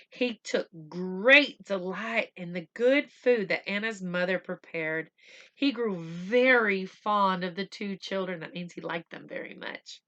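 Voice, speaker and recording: Female storybook reader for children, unhurried and bright, expressive phrasing. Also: mid-range voice, lo-fi sound. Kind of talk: read-aloud storytelling with simple asides.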